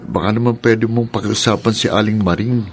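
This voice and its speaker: A man's voice: lowish voice, fairly even intonation, a little fast, a little hiss or hum, close to an everyday voice. An actor playing an easygoing, lovestruck male college student in a radio drama. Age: young adult. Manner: easygoing, pleading.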